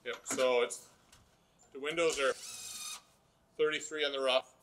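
A builder talks through framing measurements outdoors on a job site, practical and step by step. His voice is bright and sharp. Faint noise hangs in the background. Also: mid-range voice, steady tone, a little slow. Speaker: builder explaining framing work.

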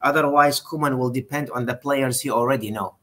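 A middle-aged man on a video call giving careful football opinions, with a deliberate, slightly formal way of speaking and many pauses. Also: mid-range voice, fairly even intonation, a bit bright. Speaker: co-host.

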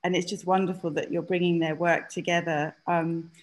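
A woman's voice: fairly low pitch, level tone, moderate pace, rough recording. A woman hosting an informal panel on a video call, tentative and apologetic in how she steers it. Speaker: event host, panel moderator. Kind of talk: informal event moderation. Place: indoor room on a video call.

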